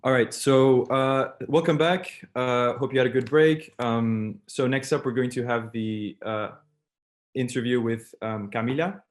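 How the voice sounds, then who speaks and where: A man's voice: mid-range voice, steady tone, measured pace. A young man hosting a university online event and interviewing a guest, speaking hesitantly with many fillers. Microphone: video call.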